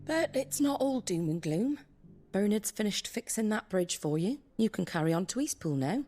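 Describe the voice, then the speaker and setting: An actress playing an earthy, compassionate innkeeper rebel in a video game, with a regional English accent and plain, folksy speech. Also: female voice, medium pitch, lively intonation, normal speed, dark tone, lightly acted. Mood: warm, encouraging. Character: Martha, earthy outspoken innkeeper woman, secretly compassionate rebel. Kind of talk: dramatic video game acting. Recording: music behind the voice.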